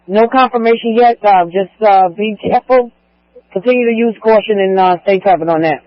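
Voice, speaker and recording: A man's voice: sits high, moderate pace, no room sound, lo-fi sound. A man working as a police radio dispatcher, relaying and repeating orders to units over a scanner.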